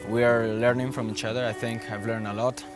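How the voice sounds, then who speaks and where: A man from Venezuela speaks in a reflective, slightly hesitant way. Clear music plays behind his voice. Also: mid-range voice, little pitch movement, a little fast. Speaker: workshop participant. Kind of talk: casual interview.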